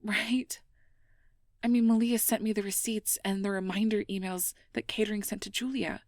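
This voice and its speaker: A woman playing a sweet, anxious young mage girlfriend in an ASMR role-play, speaking in a breathy, often whispered voice with earnest, hesitant, careful phrasing. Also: medium pitch, fairly even intonation, moderate pace, dry recording, studio quality, lightly acted. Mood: exasperated, flustered. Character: sweet, anxious, conscientious young air mage girlfriend confiding her worries. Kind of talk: ASMR role-play, intimate personal address.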